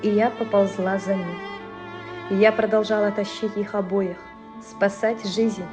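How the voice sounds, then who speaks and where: A woman's voice: medium pitch, close to an everyday voice. A woman playing a compassionate young army medic recalling the war in an earnest dramatic monologue for an amateur audio drama.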